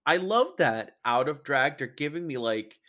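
A man's voice: mid-range voice, expressive, moderate pace, pushed and bright. A man co-hosting a recap podcast, chatting casually in a campy, sassy, exclamatory way and swearing freely. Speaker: podcast co-host. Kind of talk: casual comedic recap chat about a drag competition show.

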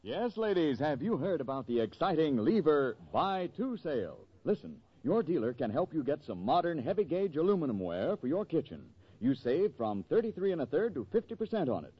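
A man announcing on 1940s radio with a polished sales pitch. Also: very animated, brisk, hardly any pauses, breathy edge. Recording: muffled vintage 1940s radio broadcast.

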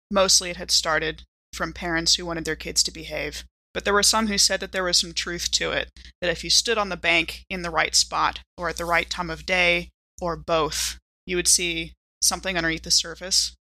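A woman playing a tabletop roleplaying game, improvising an eerie first-person story and building it deliberately, piece by piece. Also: on the low side, creaky, pushed and bright, no echo.

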